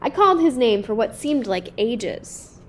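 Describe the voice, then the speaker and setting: A woman's voice: on the high side, very animated, unhurried, slight background noise. A woman voicing a haughty, bossy, well-to-do mother in a picture book, prim and indignant. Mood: fretful, commanding. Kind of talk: children's picture book read-aloud.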